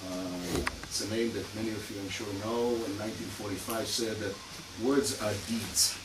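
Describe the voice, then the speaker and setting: A man's voice: lowish voice, fairly even intonation, normal speed. Hesitant lecturing man with a Hungarian-Israeli accent. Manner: hesitant, many fillers, dry asides. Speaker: guest lecturer, criminologist. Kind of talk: academic lecture. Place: lecture room. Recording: echoey hall, far mic, noisy.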